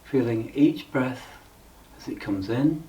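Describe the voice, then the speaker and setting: Male meditation guide, very slow pace, calm and measured, frequent pauses, mid-pitched, set back from the mic. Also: recorded from a distance, low-quality recording.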